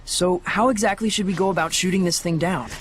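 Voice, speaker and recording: Man as lightly high, dry young companion. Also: a little fast, background noise, natural voice. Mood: wry. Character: young male companion, dry and clever, teasing. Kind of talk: English video game dub acting. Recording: music clearly behind voice.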